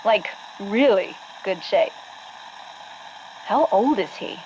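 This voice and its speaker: An actress playing a relaxed, encouraging workout trainer in a scripted game scene, coaxing and casual. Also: female voice, mid-range voice, slow pace. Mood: easygoing, encouraging. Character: easygoing, encouraging gym trainer or spotter. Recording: muffled, faintly noisy audio log.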